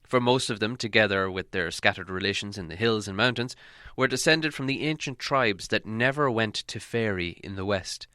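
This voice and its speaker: A man narrating a fantasy storybook as an audiobook reader, with measured, dramatic delivery. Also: fairly low pitch, pushed and bright, clean tone, no echo, studio quality.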